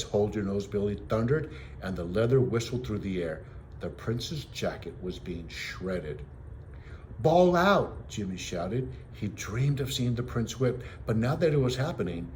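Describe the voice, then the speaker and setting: A man with a fairly low voice narrates a children's story like a teacher reading to a class. The delivery is expressive, and there is slight noise in the recording. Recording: noisy home recording.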